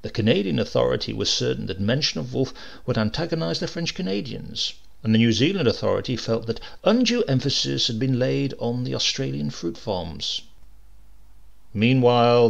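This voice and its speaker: A man reading a comic short story aloud as narrator, expressive and lively in his delivery. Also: mid-range voice, normal speed, vocal fry. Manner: lively, expressive reading with varied character voices. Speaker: audiobook reader.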